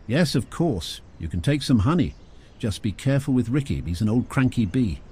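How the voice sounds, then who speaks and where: A man voicing a gentle, friendly former hunter who now keeps bees, speaking a bit hesitantly. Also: mid-range voice, very animated, fairly quick, husky, voice pushed up.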